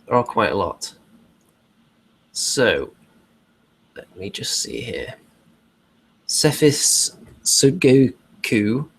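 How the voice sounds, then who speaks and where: A man's voice: mid-range voice, very animated, drawn-out words, long pauses, a bit bright, breathy edge, rough recording. A man co-hosting a hobby show in casual conversational chat.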